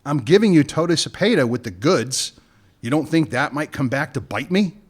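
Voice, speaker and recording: Casting: a man as a wry gun runner, fairly high voice, lively swings in pitch. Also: normal speed, husky. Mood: scheming. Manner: wry, bargaining, dry jokes. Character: smooth-talking male gun runner. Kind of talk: radio play acting.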